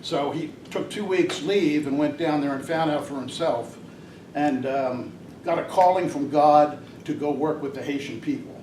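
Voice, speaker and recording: A man's voice: on the high side, normal speed, darkish tone. An older man giving a rambling, hesitant talk at a club meeting, with many fillers. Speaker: guest speaker at a Rotary club. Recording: distant mic in an echoey room, noisy.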